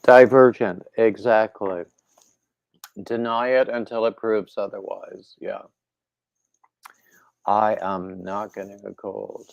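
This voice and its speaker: Man, slow easygoing chatter, low gravelly voice, dry muffled sound, slightly stuffy throat. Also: dry recording. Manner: rambling, relaxed, reads viewer comments aloud. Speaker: livestream host. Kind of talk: casual chat with live viewers.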